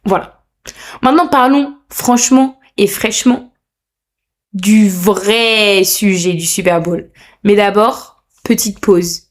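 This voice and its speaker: A woman's voice: mid-range voice, slow. A young woman hosting a casual solo podcast, chatty, playful and self-mocking, with a slightly sore throat. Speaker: podcast host. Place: room at home.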